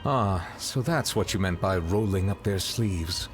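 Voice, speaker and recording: A man voicing a dignified, earnest figure of authority in a video game, formal and measured. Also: deep voice. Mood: measured, serious. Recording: faint music behind the voice.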